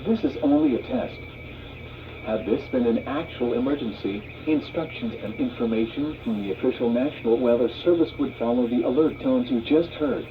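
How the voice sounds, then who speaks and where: A man's voice: medium pitch, moderate pace, muffled recording, noisy background. A man's synthetic voice reading formal scripted emergency announcements through a weather radio speaker.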